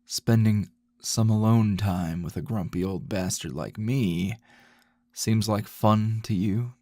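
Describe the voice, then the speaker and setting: A man playing a grumpy middle-aged teacher in an intimate ASMR roleplay, a teasing, possessive lover with a low, gravelly, husky murmur and a slow drawl. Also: warm tone, dry recording. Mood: fond, teasing.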